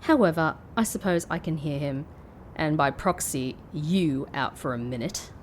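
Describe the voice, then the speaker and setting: Woman acting a guarded, sardonic ex-agent, lowish voice. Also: a little hiss or hum, natural voice. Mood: wry, measured. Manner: polite, measured, dry wit. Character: guarded, sardonic woman, former secret agent turned call-centre worker. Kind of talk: audio drama acting.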